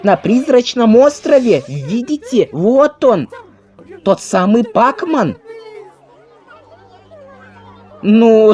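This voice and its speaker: A man voicing a hapless, excitable cartoon ghost henchman with theatrical delivery, in an amateur video game dub. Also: high voice. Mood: alarmed, reporting. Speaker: fan dub voice actor. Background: game music.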